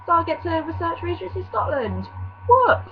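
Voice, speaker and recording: A woman's voice: very high-pitched, big pitch swings, moderate pace, faint music behind. A young woman from vlogging casually, rambling and apologetic, her nose slightly stuffy from a cold. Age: young adult. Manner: rambling and self-apologetic, with many fillers. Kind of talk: casual chatty vlog. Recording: dull lo-fi recording with faint hum.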